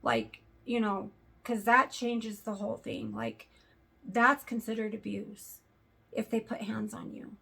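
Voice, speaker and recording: Slow, raspy woman with a sore throat. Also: mid-range voice, lively intonation, pushed and bright. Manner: earnest, hesitant, many fillers. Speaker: vlogger. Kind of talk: casual talk to camera.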